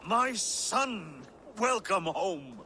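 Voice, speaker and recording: An actor playing a stern, weary old Norse king and father in a video game, speaking formally in measured, admonishing lines. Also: high-pitched, sing-song, sharp tone, a little hiss or hum, heavily acted. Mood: welcoming. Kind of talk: dramatic video game acting.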